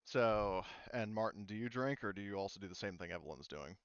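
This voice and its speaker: A man running a tabletop game as game master, narrating casually in an informal, halting way. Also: on the low side, animated, clear, resonant tone, no echo, lo-fi sound.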